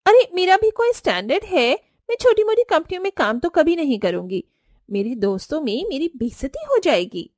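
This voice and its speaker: A woman's voice: high voice, big pitch swings, a little fast, a little mellow, dry recording, studio quality, pitched above the performer's own voice. A woman voicing an arrogant, spoiled young rich sister-in-law in a cartoon story, haughty and complaining.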